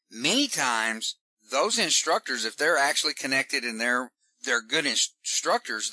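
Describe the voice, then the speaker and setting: A man with a Southern Texan accent, a comedian giving folksy, animated advice in an interview, often repeating words as he starts a sentence. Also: mid-range voice, no echo, rough recording. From Texas. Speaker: stand-up comedian guest. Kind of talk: casual interview talk.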